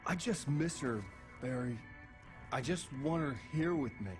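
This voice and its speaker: A male actor plays a proud, wounded writer who has had too much to drink. His slightly high voice is insistent and drunken, in video game cutscene style, with music behind it. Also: unhurried, natural voice. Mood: sorrowful.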